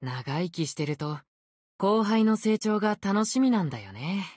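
A man's voice: high voice, lively intonation, moderate pace, darkish tone, no room sound, clean studio sound. A man voicing an easygoing, unfazed ancient sword spirit in youthful male form for a game, with languid, nonchalant phrasing. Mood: airy, nonchalant. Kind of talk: Japanese game character voice acting.

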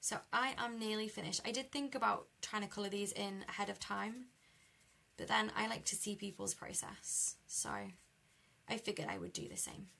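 Croaky, chatty young British woman. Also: medium pitch, steady tone, normal speed, bright, forceful tone. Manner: chatty, laughs easily while colouring. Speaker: card-making demonstrator. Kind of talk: live craft demo chatting with viewers. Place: indoor craft desk. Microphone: overhead camera over a desk.

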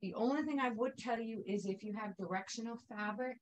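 A woman's voice: fairly high pitch, fairly quick, muffled recording, a little hiss or hum. A woman teaching a quilting technique in a chatty, upbeat way, wandering into asides while she sews. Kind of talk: casual sewing tutorial.

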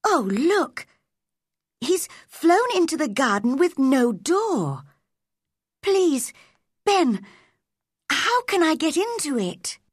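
A woman's voice: sits high, wildly swooping pitch, a little slow, no room sound, clean studio sound, pitched above the performer's own voice, exaggerated voice. A woman voicing a lonely young girl in a storybook, earnest and eager.

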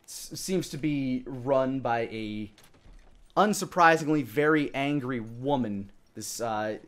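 A man in his thirties improvising narration as a dungeon master, pausing to think as he goes. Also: medium pitch. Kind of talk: improvised tabletop game narration.

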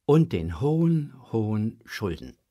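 A man's voice: fairly low pitch, big pitch swings, slow pace, dark tone. A man narrating a children's audio drama from a script.

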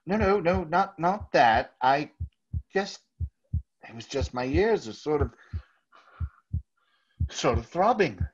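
A man playing a nervous, overpolite murderer hiding his guilt, halting and husky, in old-time radio theater style. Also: fairly high pitch, animated, slight background noise. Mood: jittery. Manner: halting, over-courteous, stammering. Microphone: video call. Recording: dull, lo-fi video call audio.

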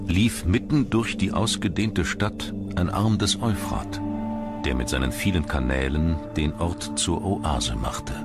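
A man narrates a documentary from a script in standard German. His voice sits very low, and music plays clearly behind him. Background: background music.

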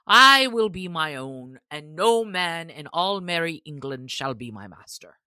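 A woman voicing a proud, gruff male archer in disguise, speaking roughly in archaic storybook English. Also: low-pitched, expressive, a little slow, bright, forceful tone, dry recording, exaggerated voice. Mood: defiant. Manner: rough, defiant, archaic speech.

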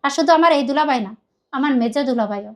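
A woman with a Bangladeshi accent gives a personal account in a very high voice. She talks quickly and emphatically, rambling and circling back over her own words as a guest telling her story. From Khulna, Bangladesh. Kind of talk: personal confessional account in conversation.

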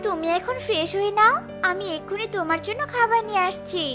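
A woman's voice: extremely high pitch, quick pace, over music. A woman voicing a pleading, earnest young bride in a cartoon. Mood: eager. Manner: pleading, tearful. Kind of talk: cartoon voice acting.

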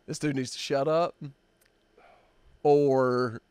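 A man in his fifties hosting a podcast, telling a story in a halting, drawn-out way with many fillers, at a desk mic in a dark studio. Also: mid-range voice, fairly even intonation, smooth, no echo. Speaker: podcast host. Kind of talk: casual storytelling chat.

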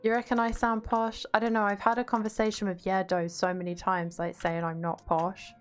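A woman's voice: mid-range voice, level tone, brisk. A young woman streaming a game, chatting casually and greeting viewers by name, with faint game music behind her. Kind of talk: casual gameplay chat, reading chat aloud.

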